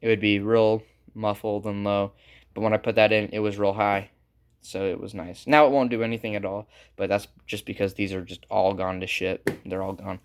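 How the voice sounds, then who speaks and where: Man, lowish pitch, smooth clear tone, casual hobbyist how-to, halting, thinking aloud. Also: little pitch movement. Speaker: hobbyist electronics repair presenter. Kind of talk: casual unscripted how-to narration.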